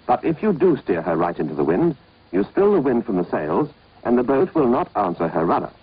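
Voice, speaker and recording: A man's voice: mid-range voice, huge pitch swings, fairly quick, creaky, breathy edge. A British man narrating an instructional training film in a clipped, scripted, instructive manner. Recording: dull, band-limited old film soundtrack.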